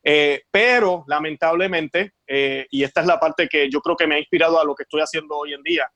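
A man in his forties speaks Spanish with a Puerto Rican accent, slightly higher pitched than most men. He tells his story earnestly as a guest, pausing on fillers. The recording is dry, with no room sound. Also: fairly bright tone, no echo. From Puerto Rico. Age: 40s. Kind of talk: casual conversation.

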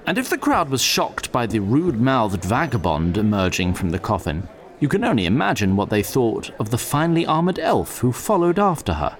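Man as an animated, wry fantasy storyteller. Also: medium pitch, normal speed, close to an everyday voice. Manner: wry, formal, dramatic. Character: wry, theatrical male storyteller narrator. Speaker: narrator. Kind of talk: scripted fantasy narration.

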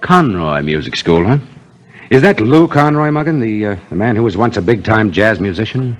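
A young American homicide inspector in a vintage radio drama. He is understated and terse, with a low voice that moves through lively inflections. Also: normal speed. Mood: calm, probing. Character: quiet but authoritative young police inspector. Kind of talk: 1950s radio acting.

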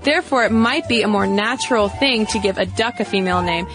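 A woman's voice: medium pitch, brisk, music in the background. A woman co-hosting a podcast in a friendly, casual way, reading a listener's letter and running through the show's plugs. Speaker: podcast co-host.

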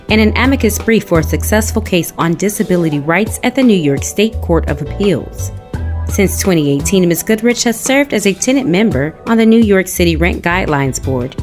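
Woman reading a formal guest introduction. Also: medium pitch. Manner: even, formal read-through. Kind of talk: scripted introduction read aloud.

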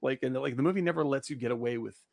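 A man with a gravelly, fry-tinged voice chats about a movie in a rambling, animated way. The sound is dry and close, with no room around it. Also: medium pitch, rapid-fire, no room sound. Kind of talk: casual film discussion.

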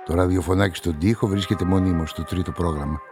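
Brooding noir-ish radio play narrator, really deep voice, slow-burn reflective, music going behind him. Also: male voice, fairly even intonation. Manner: measured, reflective. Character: brooding, lovelorn male narrator of a crime story. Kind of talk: scripted first-person radio drama narration.

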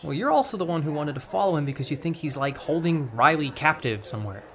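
Animated, awkwardly sarcastic teenage friend. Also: female voice, low voice, fast talker. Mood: curious. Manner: stammering when flustered, casual, wry. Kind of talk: naturalistic audio drama dialogue.

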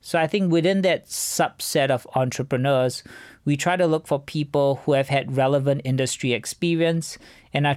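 A man answers interview questions in a measured, businesslike way. His voice sits at a medium pitch for a man, and the recording is close and dry, with very little room sound. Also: no room sound. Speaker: venture capital fund co-founder, interview guest.

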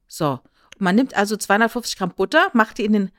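Female podcast co-host, medium pitch, casual conversational delivery, very dry recording. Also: expressive, quick pace, no echo.

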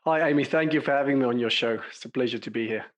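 A South African man in his forties answers questions over a remote call at an easy conversational pace. He speaks thoughtfully, pausing on frequent fillers as he explains things, his voice sitting in an ordinary middle register. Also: medium pitch. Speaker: clinical psychologist, podcast guest. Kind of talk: conversational interview.

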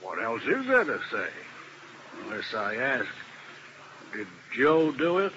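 A weary, troubled old-time lawyer. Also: male voice, mid-range voice, wildly swooping pitch, takes it slowly, dull audio. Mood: guarded. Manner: troubled, heartfelt. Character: weary, guilt-ridden male defense lawyer. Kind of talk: 1950s radio drama acting. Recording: hissy old radio broadcast.

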